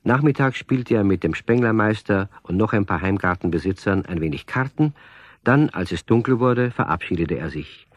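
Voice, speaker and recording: A man playing the storyteller of a children's radio play, giving measured scripted narration. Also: on the low side, animated, dry recording. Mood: calm.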